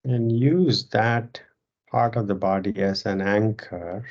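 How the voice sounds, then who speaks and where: A yoga teacher leads a guided meditation very slowly, with a creaky, fry-laden voice. The delivery is calm and measured, in short phrases set apart by pauses. The recording is somewhat dull and muffled. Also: medium pitch.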